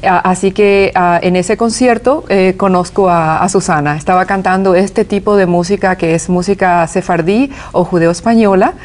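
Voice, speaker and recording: Low-voiced woman musician, halting. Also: a little mellow. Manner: explanatory, with many "eh" fillers and restarts. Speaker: guest musician. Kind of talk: TV interview.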